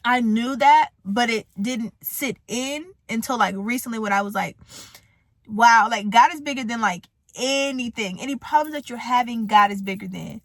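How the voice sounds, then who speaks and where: A woman's voice: on the high side, moderate pace, dry recording. A woman of twenty talking casually to her camera, chatty and rambling, laughing easily. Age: 20s. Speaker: vlogger. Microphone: camera mic.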